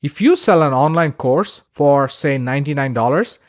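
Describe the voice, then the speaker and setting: A man's voice: fairly high pitch, lively intonation, normal speed. A man narrating a scripted online course as its instructor, in a conversational, emphatic, instructional way.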